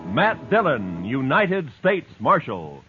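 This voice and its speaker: A man announcing a 1950s radio show in a dramatic, declamatory and deliberate style. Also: medium pitch, wildly swooping pitch. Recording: tinny 1950s radio, music behind.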